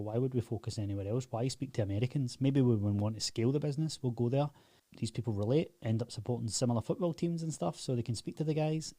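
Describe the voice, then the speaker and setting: A man's voice: mid-range voice, warm tone, no echo. A Scottish man in his thirties talking straight to camera about his business, blunt, emphatic and proud. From Scotland. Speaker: business owner and channel host. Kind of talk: direct-to-camera business talk.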